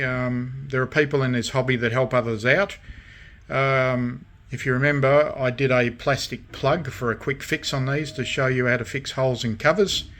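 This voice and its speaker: A man presenting his hobby restorations in a casual show-and-tell, speaking haltingly with frequent pauses between short phrases. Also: mid-range voice, fairly bright tone. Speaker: radio restoration hobbyist and vlogger.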